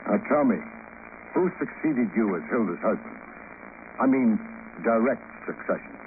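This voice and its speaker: A man's voice: fairly low pitch, lively intonation, moderate pace. An actor playing a wry, world-weary American private investigator in a 1950s radio drama, dry and clipped in his delivery. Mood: probing, wry. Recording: muffled, noisy 1950s radio recording.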